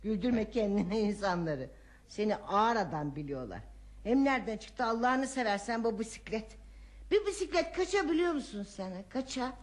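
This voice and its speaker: A woman's voice: on the high side, lively intonation, moderate pace, natural voice. An actress playing an elderly housewife and grandmother in a radio play, scolding and teasing with frequent exclamations. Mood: exasperated scolding. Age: older. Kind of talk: radio theatre acting.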